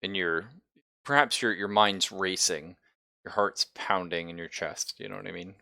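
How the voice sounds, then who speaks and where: Guy running a tabletop rpg, low crisp forward voice, dry close mic, playful and chatty. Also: normal speed, sharp tone, no echo. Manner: conversational, playful, swears casually. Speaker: game master. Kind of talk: improvised narration of a tabletop roleplaying game.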